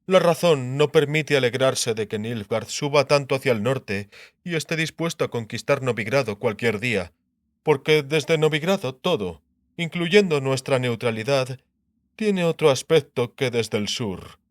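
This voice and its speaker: A man's voice: medium pitch, lively intonation, no room sound, clean studio sound, close to an everyday voice. A man voicing a shrewd, grumbling king and sardonic husband in an audiobook, musing aloud. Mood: shrewd, deliberating. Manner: musing aloud, muttering, drawn-out syllables. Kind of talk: dramatised audiobook character acting.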